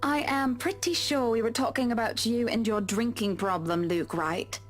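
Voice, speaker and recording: A woman playing a high-voiced exasperated wife. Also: normal speed, faint music behind, close to an everyday voice. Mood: firm. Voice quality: exasperated, pointed. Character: frustrated young wife. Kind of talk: voicing a visual novel character.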